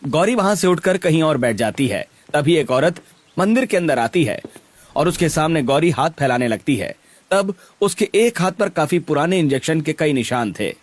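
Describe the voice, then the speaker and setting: A man's voice: a little high, lively intonation, moderate pace, no echo. A man reading scripted narration for a cartoon story.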